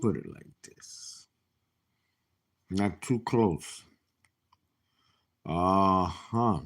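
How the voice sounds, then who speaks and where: A man in his late fifties giving a casual tarot reading, chatty and animated, with laughing asides and dropped whispers. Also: sits low, very slow. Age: 50s. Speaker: tarot reader.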